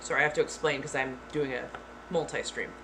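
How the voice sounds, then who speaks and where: Woman streamer talking to chat, flat monotone on purpose, blunt, kinda sweary, noisy background. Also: extremely low pitch. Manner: blunt, deliberately monotone delivery; frank, swears casually. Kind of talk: casual live-stream chat. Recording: clear background noise.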